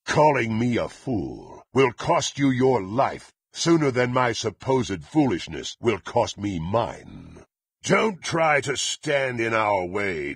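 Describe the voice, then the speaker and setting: An actor playing a menacing, proud and violent blackguard warrior in a fantasy video game, with a gravelly, raspy voice and haughty, threatening, formal delivery. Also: male voice, lowish voice, fairly bright tone, dry recording, clean studio sound, full character voice. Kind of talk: theatrical fantasy game voice acting.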